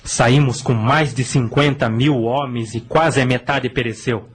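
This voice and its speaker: A man playing a loyal, excitable officer of an ancient army in a dramatic, earnest radio drama style. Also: medium pitch, unhurried, slightly rough. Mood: grave, reporting.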